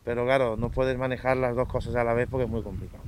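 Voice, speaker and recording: A man's voice: mid-range voice, flat delivery, fast talker, a little hiss or hum. A man in his thirties from Portugal, a circus clown, chatting in an interview with some hesitant starts. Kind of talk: casual interview answers.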